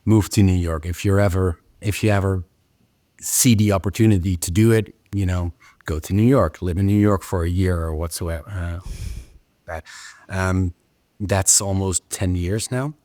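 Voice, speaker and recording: Deep-voiced man, halting speech. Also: fairly even intonation, warm tone, no echo. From Netherlands. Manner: halting, with frequent "uh"s and restarts. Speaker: guest, pastry chef. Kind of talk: casual interview chat.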